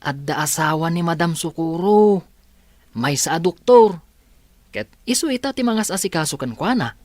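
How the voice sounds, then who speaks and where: A man's voice: a little high, animated, normal speed, a little mellow, natural voice. A man playing a kind, eager young student in an Ilocano radio drama, with an Ilocano accent. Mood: matter-of-fact.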